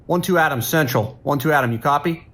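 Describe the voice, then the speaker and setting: A man's voice: a little high, fast, fairly bright tone. A man playing a harried, commanding New York police dispatcher in an audio drama, giving urgent, clipped orders. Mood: urgent, frustrated.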